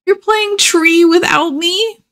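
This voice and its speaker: A woman's voice: very high voice, slow pace, fairly bright tone, pitched above the performer's own voice, full character voice. A woman voicing a jealous, anxious male porcupine from a children's storybook, dramatic, exclamatory and fretful. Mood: indignant. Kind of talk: children's storybook read-aloud.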